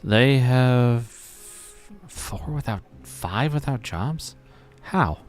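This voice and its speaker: A man's voice: mid-range voice, animated, very slow, faint music behind. An American man streaming a building game, muttering his moves to himself, humming and joking along. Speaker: gaming streamer. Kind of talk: live game commentary.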